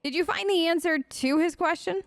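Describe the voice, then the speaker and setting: A woman's voice: very high voice, normal speed, no room sound, clean studio sound. A woman hosting a role-play let's play, chatting casually in character in an earnest, playful way. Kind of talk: casual in-character game commentary.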